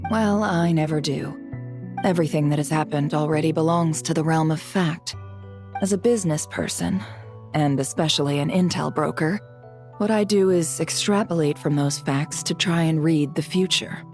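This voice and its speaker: Low-voiced, shrewd information broker. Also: female voice, darkish tone, faint music behind. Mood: musing, assured. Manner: measured, cool, businesslike. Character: shrewd, composed female intelligence broker, coolly self-assured. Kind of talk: video game cutscene dialogue.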